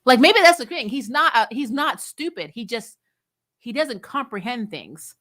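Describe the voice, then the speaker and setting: A woman hosting a casual TV review chat, talking in an animated, sarcastic, exasperated way with blunt opinions and casual swearing. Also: a little high, moderate pace. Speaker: host of a TV show review channel. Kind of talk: casual spoiler recap chat, reading and answering viewer comments.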